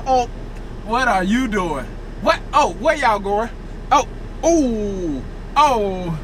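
An American prank vlogger chatting excitedly and casually to the camera, playfully repeating phrases over and over. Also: mid-range voice. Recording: noisy handheld recording, vehicle and street sounds.

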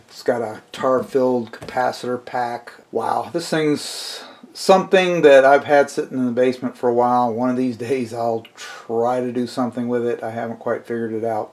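A man's voice: medium pitch, a little mellow. Plain-spoken middle-aged man explaining informally. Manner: conversational, unscripted, plain-spoken. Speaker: hobbyist reviewer. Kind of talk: informal explanatory product review narration.

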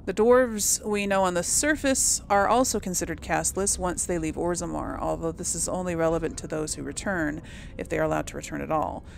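Low, gravelly woman playing a game. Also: fairly quick, slight background noise. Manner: thinks aloud with many ums. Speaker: let's-play host. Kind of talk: casual gameplay commentary and reading aloud.

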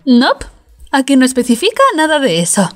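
A woman plays a breezy, bubbly young cartoon woman. Her voice is high and bounces around in pitch over faint music. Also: very animated, moderate pace. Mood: cheerful. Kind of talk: fandub comic acting.

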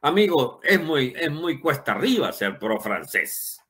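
Animated older man, high forceful voice. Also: unhurried. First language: Spanish. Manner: emphatic, rhetorical questions, colourful wording. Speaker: political commentator. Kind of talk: opinionated political monologue, unscripted.

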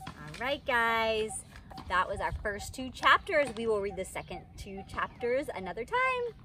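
A woman's voice: fairly high pitch, big pitch swings, unhurried, sharp tone. A woman in her thirties, a teacher, reading a children's storybook aloud expressively outdoors. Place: outdoors in a wicker pod chair under trees. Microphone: camera a few feet away. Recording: outdoor ambient noise.

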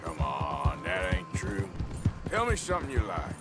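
An actor playing a weary middle-aged American outlaw in a video game, gruff but kindly, with a dry, folksy way of talking. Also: male voice, deep voice, huge pitch swings, measured pace, heavily acted. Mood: reassuring, somber. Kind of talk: naturalistic video game drama acting. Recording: music behind game dialogue.

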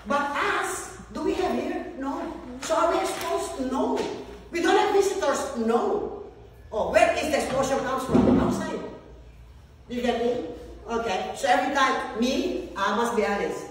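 Lively woman in her sixties lecturing nurses. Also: high voice, unhurried. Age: 60s. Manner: animated, asks the class constant check questions. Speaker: nursing lecturer. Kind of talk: classroom lecture with quizzing. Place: large wood-floored meeting room, indoors. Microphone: far phone camera. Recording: echoey room from far off, some noise.

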